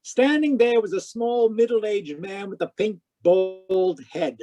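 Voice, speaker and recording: A man playing a wisecracking private detective who narrates deadpan in 1940s radio drama style. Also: high voice, slow pace, dry recording. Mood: wry narrating. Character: wry wisecracking male private detective narrating his case. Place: live video-call performance, voice close and dry.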